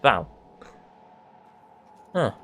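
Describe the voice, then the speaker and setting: A man's voice: extremely slow, a bit bright, slight background noise. A man giving casual let's play commentary, reading game text aloud and muttering playfully to himself.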